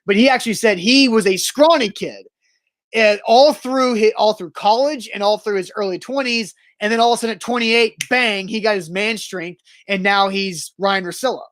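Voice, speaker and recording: A man's voice: high voice, normal speed, fairly bright tone, no room sound. A man co-hosting a sports talk show, matter-of-fact and teasing, laying out his points with pedantic precision. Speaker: sports radio co-host. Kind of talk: casual sports talk banter.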